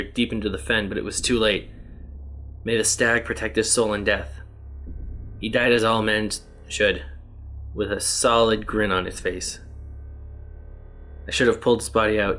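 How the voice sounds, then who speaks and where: A man voicing a weary male test subject stranded for ages in another world, read out in an even, plain reading voice. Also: fairly low pitch, moderate pace, long pauses, close to an everyday voice.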